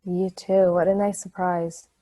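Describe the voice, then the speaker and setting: A woman playing a friendly, easily surprised character on a phone call, expressive and chatty, in a table read. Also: fairly low pitch, unhurried, no echo. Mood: delighted, surprised. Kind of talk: play table read, conversational acting.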